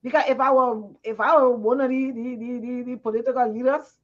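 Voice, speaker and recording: एक ऊँची आवाज़ वाली लाइबेरियाई महिला. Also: female voice, fairly high pitch, steady tone, fairly quick. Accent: Liberian English. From Liberia. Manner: earnest, repeats words while searching for them. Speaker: panelist. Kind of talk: live TV panel discussion. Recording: muffled lo-fi broadcast audio.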